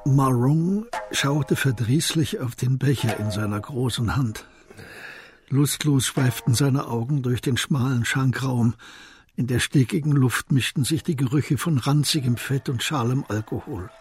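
Mid-pitched male radio drama narrator. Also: unhurried.

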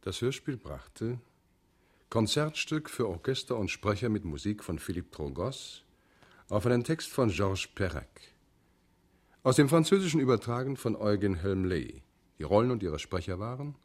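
A man announcing the closing credits of a radio play from a script. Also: fairly low pitch.

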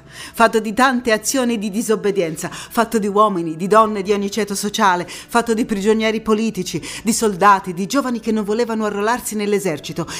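A woman's voice: medium pitch, natural voice. An actress playing a passionate young anti-fascist partisan telling her war story in a dramatic stage monologue. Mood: rousing, defiant. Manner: dramatic, emphatic delivery.

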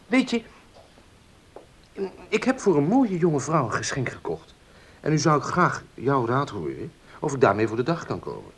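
An actor playing a charming, spoiled young student, smooth-talking and teasing in a radio drama. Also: male voice, mid-range voice, sing-song, moderate pace, long pauses, a bit bright, exaggerated voice. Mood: charming, coaxing. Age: young adult.

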